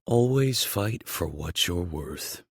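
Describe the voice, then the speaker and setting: A man's voice: low-pitched, animated, slow, slightly rough, no room sound, clean studio sound, heavily acted. A man voicing a hearty, encouraging male team boss in an emphatic, fatherly way for an audiobook. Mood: encouraging, firm.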